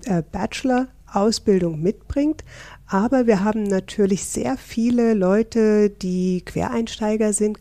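Mellow-voiced woman from northern Germany. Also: medium pitch, no echo. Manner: explanatory, friendly, with fillers. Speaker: professor, interview guest. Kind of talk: interview answers.

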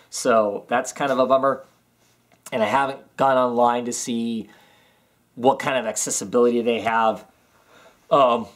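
A man in his thirties chatting casually in a home vlog, rambling with many ums and restarts. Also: medium pitch. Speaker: comics vlogger. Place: small home room with filing cabinets, indoors.

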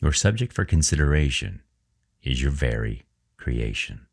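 Casting: male meditation guide, extremely low voice, measured and reverent, leaving pauses between phrases. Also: sing-song, creaky.

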